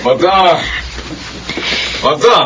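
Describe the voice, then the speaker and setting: A concerned, outspoken Filipino uncle in a radio drama. He talks bluntly in a high, animated voice, with some hum in the recording. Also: drawn-out words, exaggerated voice. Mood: alarmed. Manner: emphatic, questioning, frank. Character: concerned, blunt older-brother uncle advising his sister.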